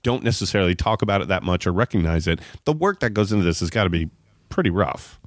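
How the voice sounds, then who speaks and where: A man's voice: low voice, animated, fast, no room sound, studio quality. A man hosting an interview podcast, talking effusively and wordily in circling phrases. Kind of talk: enthusiastic interview talk.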